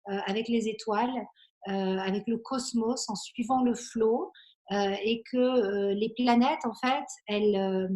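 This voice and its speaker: A woman's voice: on the high side, darkish tone. Reflective woman in her forties, searching for words. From Belgium. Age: 40s. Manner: halting, searching for words, earnest. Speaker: interview guest, astrologer. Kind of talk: reflective conversational interview.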